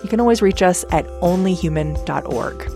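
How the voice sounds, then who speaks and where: A woman's voice: fairly low pitch, expressive, brisk, music in the background. A woman radio host delivering scripted narration and interview questions.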